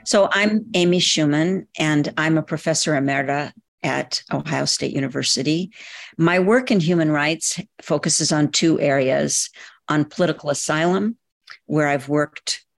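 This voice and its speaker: An older woman, a retired professor, speaking as an interview guest in a measured, precise academic way. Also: sits low, gravelly, dry recording. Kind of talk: conversational interview.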